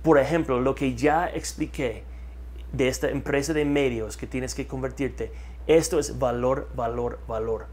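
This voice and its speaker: A man's voice: mid-range voice, unhurried. A man, talking in an interview as a marketing consultant, repeating key words for emphasis, on a lapel mic in a studio. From United States. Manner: repeats words for emphasis, animated hand gestures. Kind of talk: energetic interview talk. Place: studio with map backdrop.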